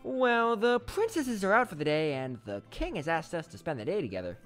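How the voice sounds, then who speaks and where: An actor playing a young, dutiful, easily flustered male unicorn squire, hesitant and expressive, in an animated series. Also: mid-range voice, brisk, close to an everyday voice. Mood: curious. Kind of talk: animated fan series voice acting. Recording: music behind the voice.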